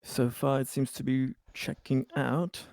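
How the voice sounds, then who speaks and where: A man's voice: mid-range voice, steady tone, unhurried, creaky, darkish tone, no echo, studio quality. A man playing a tabletop roleplaying game, talking casually in character.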